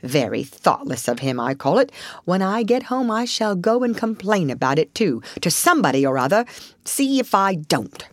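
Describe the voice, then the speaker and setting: Woman putting on a plaintive, high-pitched grumbling mole. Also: big pitch swings, moderate pace, no room sound. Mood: huffy. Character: grumbling, pained, plaintive male mole, stubbornly literal. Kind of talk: storybook character voice.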